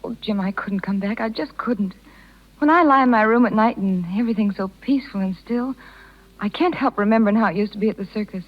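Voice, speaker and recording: A woman's voice: mid-range voice, quick pace, close to an everyday voice. A woman playing a tender, self-sacrificing young circus rider with a hesitant, pleading delivery in a 1930s radio drama. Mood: anguished, wistful. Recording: muffled 1930s radio broadcast.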